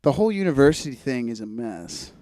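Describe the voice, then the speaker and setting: A man's voice: medium pitch, very animated, fairly quick, warm tone, dry recording, studio quality. A man of twenty-five chatting casually as a podcast host, thinking aloud in a wry, hesitant way. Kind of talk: casual conversational podcast chat.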